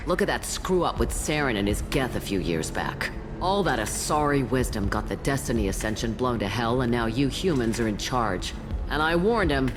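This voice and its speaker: An actress voicing a blunt, world-weary old alien matriarch who tends bar in a sci-fi video game, sardonic and no-nonsense. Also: female voice, a bass voice, lively intonation, moderate pace. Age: older. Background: music.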